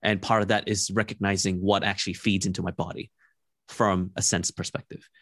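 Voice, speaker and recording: A young man from Malaysia, a podcast guest giving thoughtful, measured answers with frequent restarts. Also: deep voice, level tone, a bit bright, no echo, clean studio sound. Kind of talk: reflective interview answers.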